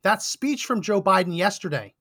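A man hosting a political podcast, delivering an emphatic, indignant commentary monologue while hoarse and losing his voice. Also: high-pitched, lively intonation, moderate pace, bright, forceful tone. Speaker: podcast host. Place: Texas.

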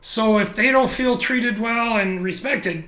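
Bright, high-voiced man telling stories. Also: unhurried, echoey room. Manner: animated, candid, swears casually, frequent restarts. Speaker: interview guest, photographer. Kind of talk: casual conversational storytelling.

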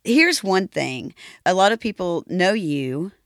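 Creaky-voiced woman podcast host chatting casually. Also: on the low side, big pitch swings, takes it slowly, dry recording, studio quality. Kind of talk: casual chat.

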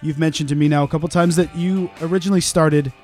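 Man, podcast host, higher-pitched voice, playful chatty delivery, faint music underneath. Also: steady tone, fast talker, darkish tone. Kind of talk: casual podcast interview. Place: podcast studio.

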